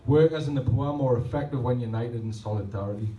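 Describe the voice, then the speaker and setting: A man's voice: medium pitch, fast talker, dull audio. A man, reading rhymed spoken-word poems and talking loosely between them. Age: 30s. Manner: rhythmic, rhymed delivery between rambling, self-deprecating asides. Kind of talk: poetry reading with chatty asides. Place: pub function room at a poetry night. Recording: roomy, distant live recording, faint ambience.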